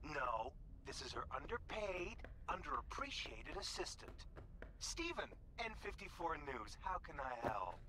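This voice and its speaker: A man playing a put-upon, sardonic office assistant in a video game, snippy and deadpan in manner. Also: on the high side, unhurried. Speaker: news assistant. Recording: game call with noisy ambience.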